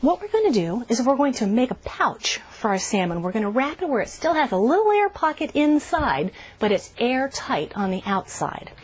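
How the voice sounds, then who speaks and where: A woman's voice: a little high, very animated, normal speed, hardly any pauses, slight background noise. A woman hosting a cooking show, walking through a recipe step by step in a cheerful, instructive way. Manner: cheerful, instructive, says "delicious" often. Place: RV kitchen and outdoor grill.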